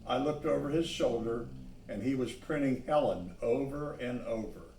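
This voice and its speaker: A man reading a children's story aloud, pausing to add simple asides about the pictures. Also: mid-range voice, darkish tone, a little hiss or hum. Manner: pauses to point out the pictures. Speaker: storybook reader. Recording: echoey room, distant microphone.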